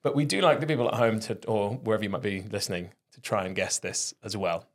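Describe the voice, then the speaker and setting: A Welsh man whose first language is Welsh, hosting a casual podcast, upbeat and quick to laugh. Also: on the low side. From Wales. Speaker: podcast host.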